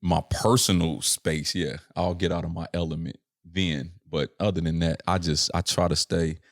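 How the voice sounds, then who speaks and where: A man's voice: deep voice, fairly even intonation, moderate pace, no echo, clean studio sound. A young adult man from Chicago, a rapper talking as a podcast guest in an earnest, reflective way, often checking in with "right?". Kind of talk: casual reflective interview chat.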